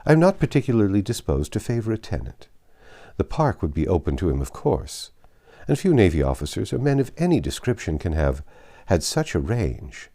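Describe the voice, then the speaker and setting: A man's voice: low-pitched, very animated, a little fast, mellow. Guy doing a snobby old baronet for an audiobook, pompous n disdainful, voice swooping around. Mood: cool, haughty. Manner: pompous, emphatic. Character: vain, haughty older English baronet, disdainful. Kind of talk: theatrical audiobook character reading.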